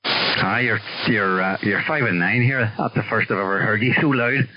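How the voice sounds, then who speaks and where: A man's voice: lowish voice, normal speed. A man chatting casually and colloquially over a radio link. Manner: colloquial, matey. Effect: radio transmission. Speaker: radio operator. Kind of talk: casual chat. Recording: dull, crackly CB radio signal.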